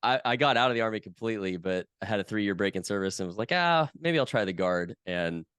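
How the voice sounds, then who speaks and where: A man in his thirties, a podcast guest from the US West Coast, talking in a relaxed, chatty way with many fillers. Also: on the low side, fast talker, smooth, no room sound. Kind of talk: casual conversation.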